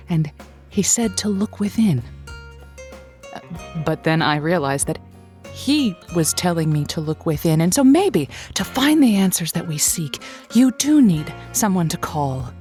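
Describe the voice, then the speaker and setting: An actress playing a wry late-night radio advice host with a slow, sultry on-air delivery. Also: female voice, on the low side, darkish tone.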